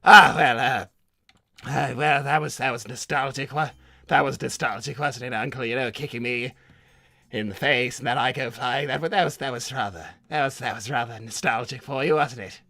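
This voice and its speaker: A man's voice: medium pitch, normal speed, vocal fry, sharp tone, dry recording, pitched below the performer's own voice. A man voicing a meek, servile nephew of a cartoon villain, stammering and hesitant as he talks. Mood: nervous, pleading. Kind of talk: improvised character livestream Q&A.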